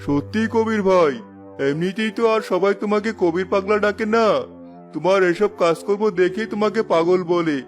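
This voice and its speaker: A man playing a high-pitched, mocking cartoon neighbour. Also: moderate pace, faint music behind, lightly acted. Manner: teasing, sarcastic. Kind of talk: cartoon voice acting.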